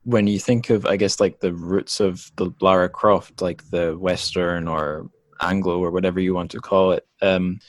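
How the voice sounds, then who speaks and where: Man, low voice, podcast host, thoughtful and halting, casual interview chat, very dry close sound. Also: steady tone, no echo.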